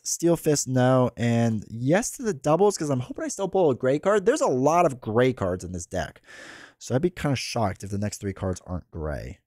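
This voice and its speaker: A man in his thirties with a stuffy head cold, chatting casually as a host while playing a card game, rambling with fillers and restarts, close on a boom mic in a home studio. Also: dark tone, no room sound. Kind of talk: casual gameplay commentary. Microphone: boom mic close to the mouth.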